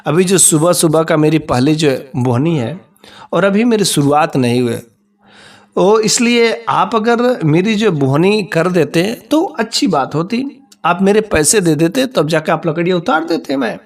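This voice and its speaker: A man's voice: high voice, animated, a little slow, vocal fry, exaggerated voice. A man voicing a crafty, vengeful young farmer's son in a folk story, stubbornly repeating his demands. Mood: polite, wily. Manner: insistent, repetitive demanding.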